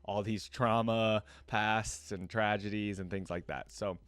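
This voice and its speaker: Low-voiced man doing casual reactions. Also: steady tone, a little slow, a bit bright, clear, resonant tone, no room sound. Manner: informal, thinking aloud. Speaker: reaction video host.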